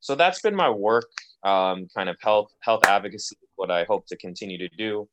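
Very deep-voiced young man, measured talk. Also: background noise. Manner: measured and articulate, with frequent ums. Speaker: podcast co-host, policy advocate. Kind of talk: casual podcast conversation.

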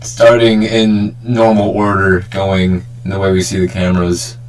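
A man's voice: low voice, monotone, unhurried, rough recording. A young man hosting a casual, joking group podcast chat with plenty of banter. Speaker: podcast host. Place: remote group call.

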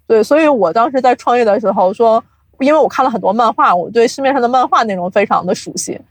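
A woman speaking casually as an interview guest, explaining things in an animated, chuckle-prone way like an industry insider. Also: on the high side, fast, no echo. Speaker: guest, audio drama producer and company CEO.

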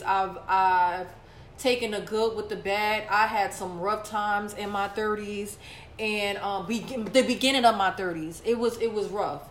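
A woman's voice: medium pitch, sharp tone, reverberant, slight background noise. A woman in her late thirties co-hosting a podcast, talking casually and saying "like" a lot. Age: 30s. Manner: chatty, repeats herself, says "like" a lot. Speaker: podcast co-host.

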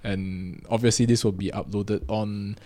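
A man's voice: lowish voice, steady tone, a little slow, warm tone. A man with a Singaporean accent co-hosting a casual podcast, rambling and often correcting himself as he goes. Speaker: podcast co-host.

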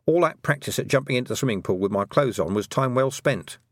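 A man voicing a shrewd, brisk witch woman who teaches, with a dry, knowing manner, in an audiobook. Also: medium pitch, animated, dry recording, pitched above the performer's own voice, close to an everyday voice. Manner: dry, knowing, clipped questions. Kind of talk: audiobook character dialogue.

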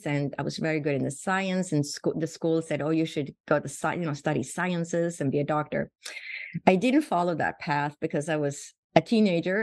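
A woman, her voice set fairly low and recorded dry and close. She tells a personal story in an earnest, reflective way. She often circles back and repeats words as she searches for the next phrase. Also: dry recording. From Sweden. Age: 60s. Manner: earnest, reflective, frequent restarts and repetitions. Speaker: guest physician. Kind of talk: conversational storytelling.

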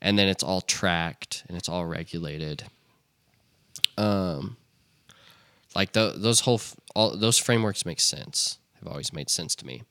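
Bright-toned young man, casual podcast ramble. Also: sits low, measured pace, dry recording, clean studio sound. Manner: rambling, many restarts and "like". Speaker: podcast co-host. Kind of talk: casual chat.

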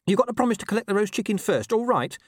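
Man voicing an urgent, high-pitched scruffy talking dog. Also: very animated, fairly quick, dry recording, studio quality. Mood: urgent, wheedling. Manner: urgent, colloquial, wheedling. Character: streetwise talking male dog, urgent and scheming.

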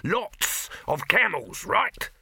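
A man voicing a pushy, excitable, slick film promoter in an audiobook, gushing, bossy and dismissive. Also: on the high side, a little slow, no echo, voice pushed up, full character voice. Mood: exasperated.

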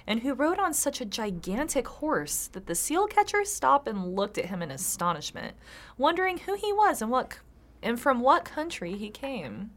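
A woman's voice: fairly high pitch, wildly swooping pitch, normal speed. A tipsy woman co-hosting a casual comedy podcast, reading folktales aloud with sweary, sarcastic asides.

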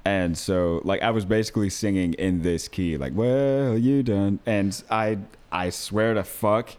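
A tipsy young man co-hosting a podcast, talking in a relaxed way with laughs and swearing. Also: fairly low pitch, a little slow, clean tone. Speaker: podcast co-host. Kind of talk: casual drunken chat.

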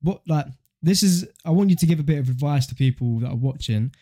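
Higher-voiced young podcast guy. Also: expressive, quick pace, mellow. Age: young adult. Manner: slangy, matey. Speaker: podcast co-host. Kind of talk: casual podcast chat.

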